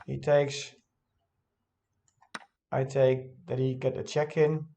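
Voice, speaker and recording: A man of middle pitch talks through his own game in English, which is not his first language. He thinks aloud casually, stopping often to correct himself and filling pauses with fillers. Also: little pitch movement, creaky, mellow. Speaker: chess vlogger reviewing his own game.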